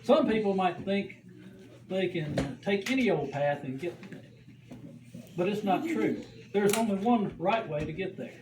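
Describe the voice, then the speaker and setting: A performer voicing an excitable, adventurous puppet in a children's church show, telling things with dramatic exaggeration. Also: mid-range voice, a little slow, close to an everyday voice. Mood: upbeat. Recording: roomy, distant mic, faint background noise.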